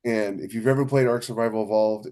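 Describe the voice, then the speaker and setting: Animated, emphatic man in casual chat. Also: lowish voice, fast. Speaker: podcast co-host and science fiction author.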